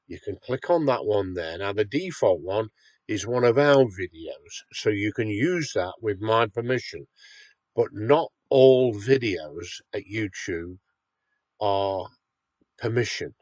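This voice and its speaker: A man with a slightly nasal, stuffed-up voice from a cold talks through a software tutorial at an unhurried pace. His voice sits fairly low, and he keeps checking in with "okay" as he guides viewers. The sound is dry and close, with no room echo. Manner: patient, step-by-step, checks in with "okay". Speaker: website owner demonstrating a tool. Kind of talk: screen-recorded software tutorial.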